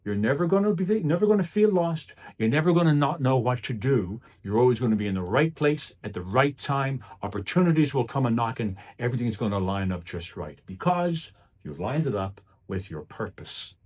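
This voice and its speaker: A man giving a rehearsed motivational speech in an emphatic, deliberate manner with dramatic pauses and repetition. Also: medium pitch, expressive, a little mellow. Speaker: motivational public speaker.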